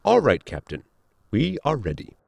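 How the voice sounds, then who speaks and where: A man's voice: mid-range voice, wildly swooping pitch, gravelly, noisy background, exaggerated voice. An actor playing a polite, precise spaceship science crewman in a comedy audio drama, speaking formally and earnestly. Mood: dry, matter-of-fact. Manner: formal, measured, explanatory.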